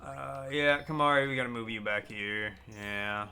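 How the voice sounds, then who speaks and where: A man live-streaming a game, chatting casually with his viewers in a deliberately raised, customer-service-style register. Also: medium pitch, gravelly, sharp tone, clear, resonant tone, faint music behind. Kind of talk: casual live-stream chat while gaming.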